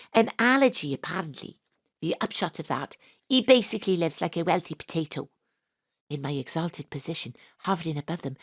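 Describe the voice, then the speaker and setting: A brash woman private detective narrates in a breezy, sweary, irreverent way. Her voice is fairly low. The sound is dry and close, as in a home studio. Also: dry recording. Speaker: narrator and lead character. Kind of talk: first-person comic audio drama narration.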